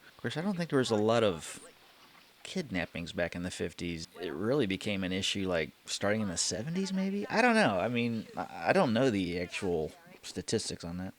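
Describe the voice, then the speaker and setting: Low-voiced man reacting casually. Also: big pitch swings, a little fast. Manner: informal, talks back to the show. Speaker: reaction video host. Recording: old TV soundtrack playing behind. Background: music and dialogue of an old TV show.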